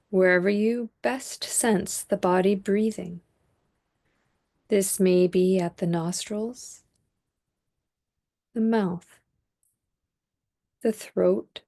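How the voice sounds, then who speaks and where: A woman leading a guided body scan meditation as a teacher, speaking calmly and in a measured way with long pauses. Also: medium pitch.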